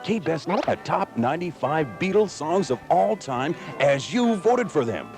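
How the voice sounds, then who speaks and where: A man reads a 1970s radio promo with a very animated, swooping delivery. His mid-pitched voice sits over clearly audible music. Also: a little slow. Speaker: radio station promo announcer.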